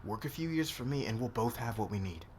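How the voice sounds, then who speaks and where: A man playing a domineering, bitter boss in an ASMR roleplay, speaking deliberately with intimate menace. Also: medium pitch, expressive, close to an everyday voice. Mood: stern, scornful. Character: domineering, bitter married male boss being blackmailed and seduced. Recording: clear background noise.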